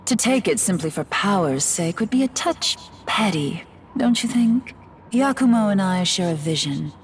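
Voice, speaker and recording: A woman playing a poised, teasing goddess turned demon in a video game, refined and coolly condescending, over music. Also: on the low side. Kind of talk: dramatic video game voice acting. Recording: loud game music underneath.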